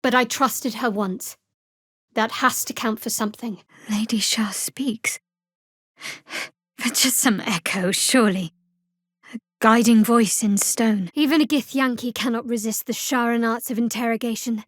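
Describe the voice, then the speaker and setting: A young woman cleric from a fantasy game, with a fairly high voice in a very dry, close recording. She is guarded and sardonic, choosing clipped, dry phrasing as an adventurer who keeps her dark faith to herself. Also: measured pace, no room sound. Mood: grave, measured. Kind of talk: dramatic fantasy video game dialogue.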